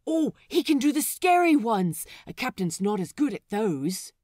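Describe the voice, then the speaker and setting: A woman's voice: a little high, big pitch swings, unhurried, darkish tone, no echo, studio quality, voice pushed up. A woman voicing an eager, wide-eyed, curious male pirate crewman in a storybook reading. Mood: excited. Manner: curious, enthusiastic.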